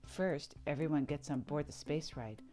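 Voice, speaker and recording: A woman reading a children's picture book aloud, narrating in an expressive sing-song way. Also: deep voice, normal speed, soft background music. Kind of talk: read-aloud storybook narration.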